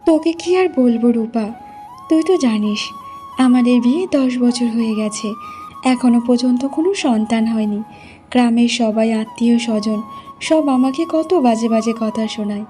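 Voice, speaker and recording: A woman's voice: fairly high pitch, moderate pace, dark tone, faint music behind, lightly acted. A woman playing a meek, sorrowful village wife in a cartoon, speaking in a plaintive, earnest way. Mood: troubled. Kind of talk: Bengali moral cartoon voice acting.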